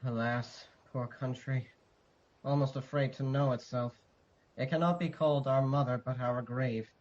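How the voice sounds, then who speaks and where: Male actor as a grave, sorrowing herald for classical radio tragedy; mid-pitched, measured verse, slightly muffled audio. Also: fairly even intonation, darkish tone, lightly acted. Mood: mournful. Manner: measured, solemn verse delivery. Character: Ross, sorrowful noble messenger bearing dreadful news. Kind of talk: Shakespearean radio drama acting.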